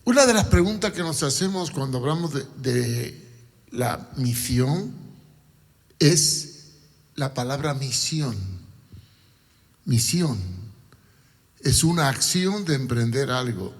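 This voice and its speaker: A man from Cuba preaching a sermon in a deliberate, emphatic way, with repetition and rhetorical questions. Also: medium pitch, animated. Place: large church hall. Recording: long hall reverb.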